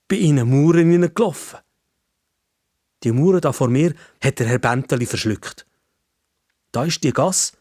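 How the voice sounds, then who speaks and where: A man's voice: mid-range voice, darkish tone, dry recording, studio quality. A man with a Swiss German accent narrating a children's story in the first person, in simple, conversational phrasing.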